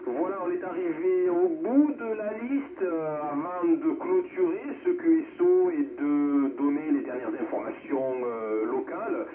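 Un homme qui anime un réseau radioamateur de façon décontractée et courtoise, en cherchant parfois ses mots. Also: male voice, a little high, unhurried, few pauses, a crowd in the background. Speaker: amateur radio net controller. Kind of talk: casual amateur radio net hosting. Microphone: heard through a radio receiver speaker. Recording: narrowband radio with noise and reverberation.

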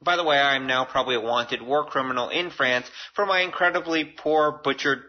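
A man's voice: mid-range voice, fairly quick, highs cut off. A middle-aged Canadian man hosting a casual solo podcast, chatty and self-deprecating, given to rambling asides. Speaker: podcast host.

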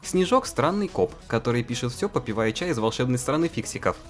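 A woman's voice: a bass voice, very animated, brisk. A woman voicing a sarcastic young female co-author with a wry, exasperated manner. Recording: faint background music.

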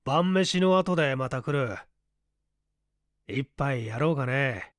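Male narrator as calm hard-boiled man; cool, unhurried, commanding; dry audiobook sound. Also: medium pitch, dry recording. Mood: casual. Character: calm, unhurried tough man coolly lecturing his beaten foe.

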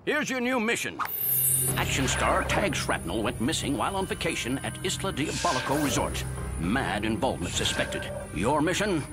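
Guy doing a cartoon police chief, brisk official briefing, big pitch swings, loud music. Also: very animated, lightly acted. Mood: urgent, official. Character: brisk, urgent middle-aged male police chief.

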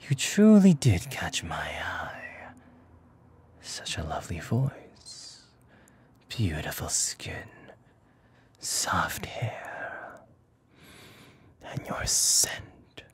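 A man performs a possessive demon lord in a whispered ASMR roleplay. The voice is low and very breathy, drawn out slowly, haughty and seductive with an edge of menace. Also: wildly swooping pitch, exaggerated voice. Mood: haughty, entranced. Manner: slow, deliberate, haughty.

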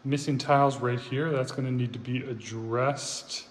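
A man's voice: medium pitch, steady tone, a little slow. A man casually narrating a walkthrough of a house for sale, upbeat and pointing out features as he walks. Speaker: real estate agent giving a house tour. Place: large empty house, indoors. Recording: echoey empty rooms, faint room noise.

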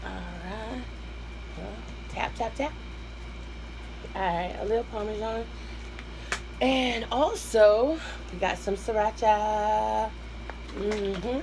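Hoarse Southern woman, 30s, home eating-show host, chatty and warm with viewers, faint background noise. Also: mid-range voice, slow pace, long pauses. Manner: chatty, addresses viewers as y'all, hums while eating. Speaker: mukbang host. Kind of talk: casual eating-show chat. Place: small room at home, indoors.

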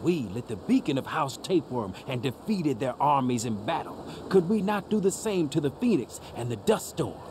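A man's voice: medium pitch, sing-song, normal speed, hardly any pauses, background noise, lightly acted. A man playing a bold young gang boss who declaims like a grandiose fantasy warlord in a video game. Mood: defiant, grandiose. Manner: theatrical mock-medieval declaiming. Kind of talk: video game role-play acting.

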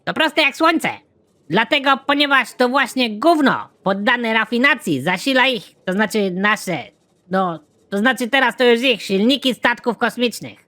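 A performer playing a talkative, crude alien defector in an animated comedy, explaining things in a matter-of-fact way. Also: mid-range voice, unhurried.

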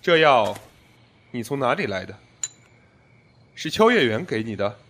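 A man's voice: medium pitch, very animated, unhurried, fairly bright tone, a little hiss or hum. Period audio drama: commanding, icy male lord played by a man, clipped phrases, mid-range voice. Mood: cold. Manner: terse, domineering. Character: cold, commanding male sect lord of ancient China.

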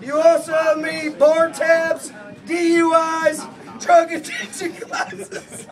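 A middle-aged man giving a rowdy comic wedding toast from cards, shouting and laughing through it, recorded on a distant phone in a crowded party room. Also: very high voice, completely flat delivery, slow pace. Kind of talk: comic roast-style toast read from cards. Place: indoor party venue, crowded. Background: party crowd chatter and laughter.

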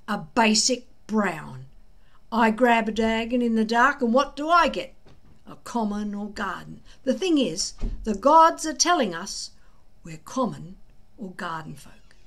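Woman as a modest, measured young Viking boy. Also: on the high side, full character voice.

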